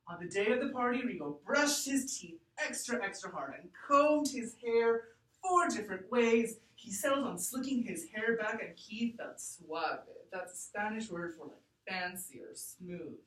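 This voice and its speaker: A woman teacher reading aloud to a class and explaining deliberately and expressively, thinking out loud. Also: medium pitch, lively intonation. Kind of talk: read-aloud lesson to a class. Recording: echoey room, distant mic.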